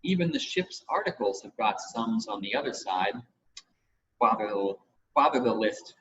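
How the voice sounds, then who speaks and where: A man voicing an eager, commanding boy captain in a storybook, brisk and orderly. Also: fairly low pitch, expressive, fairly bright tone, voice pushed down. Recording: muffled home recording with hiss.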